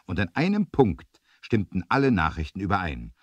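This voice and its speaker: A man's voice: sits low, wildly swooping pitch, normal speed, no room sound, muffled recording. A man narrating an adventure audio drama in a theatrical, emphatic storytelling manner, as on a 1970s studio record.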